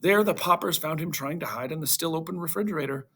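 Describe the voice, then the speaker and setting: A man reading a children's storybook aloud to schoolchildren in an animated narrating style. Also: a little high, fast, breathy edge.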